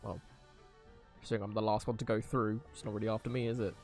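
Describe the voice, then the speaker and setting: A man's voice: lowish voice, flat delivery, fast talker, a little mellow. A young man giving casual live gameplay commentary, rambling hesitantly in an amused way. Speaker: game streamer. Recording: game music behind voice.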